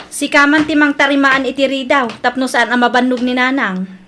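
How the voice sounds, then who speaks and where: High-voiced Ilocano village wife played by a woman. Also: level tone, normal speed, sharp tone, a little hiss or hum. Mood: brisk, instructing. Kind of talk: amateur radio-style drama acting.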